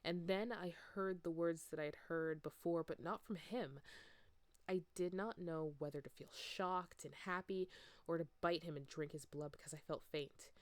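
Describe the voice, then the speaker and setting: A woman's voice: on the low side, moderate pace, dry recording. A woman narrating fan fiction aloud in an amateur, unrehearsed and theatrical way.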